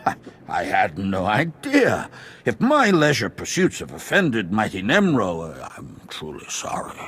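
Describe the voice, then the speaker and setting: An actor playing a pompous, blustering, self-important corporate administrator in a video game. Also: male voice, fairly low pitch, fairly bright tone, breathy edge, heavily acted. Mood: grovelling.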